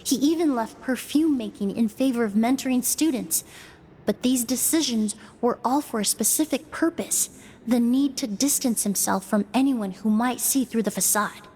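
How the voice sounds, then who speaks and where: A woman voicing a sharp, analytical young female investigator in a game, explaining in a calm, measured way. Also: on the high side, slight background noise, lightly acted. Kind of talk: voiced game dialogue.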